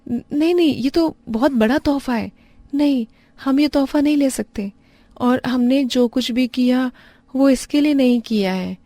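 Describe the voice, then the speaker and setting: A woman voicing a kind, humble young village wife who runs a roadside eatery in a cartoon story, speaking earnestly and gently. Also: sits high, a little slow, no echo, voice pushed up. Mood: flustered, modest.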